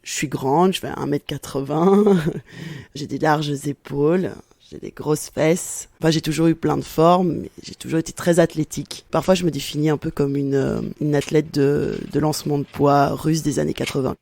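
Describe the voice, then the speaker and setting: A woman's voice: sits low, steady tone, moderate pace, gravelly, a little mellow, no echo. Candid woman in her forties. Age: 40s. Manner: candid, reflective, with hesitant restarts. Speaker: interviewee, sociology professor. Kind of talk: personal testimony in an interview.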